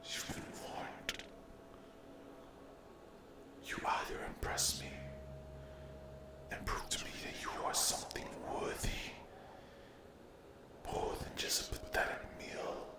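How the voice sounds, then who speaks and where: Man playing a slow whispering male demon, menacing. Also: natural voice. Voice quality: breathy whisper. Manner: slow, taunting, condescending, drawn-out phrases. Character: menacing, mocking male sleep paralysis demon, possessive and cruel. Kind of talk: whispered ASMR roleplay. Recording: roomy echo.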